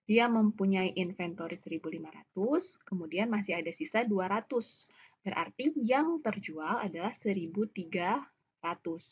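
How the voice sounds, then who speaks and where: A woman's voice: medium pitch, expressive, moderate pace. A woman with an Indonesian accent giving a step-by-step accounting lesson as a lecturer, in a didactic way, often posing questions and answering them herself. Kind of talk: explanatory lesson, walking through worked examples. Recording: dull lo-fi audio with clear background noise.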